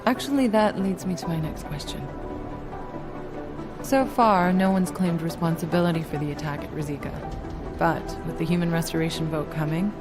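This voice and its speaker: Composed, probing game doctor played by a woman, lowish voice, measured and dry, music underneath. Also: lightly acted. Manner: measured, straight-talking, dry. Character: composed, probing female agency doctor evaluating an agent. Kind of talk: video game cutscene acting. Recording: music behind.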